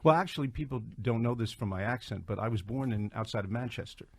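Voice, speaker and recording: An older man, a writer guest on a radio interview, speaking haltingly with many fillers. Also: medium pitch, darkish tone, dry recording.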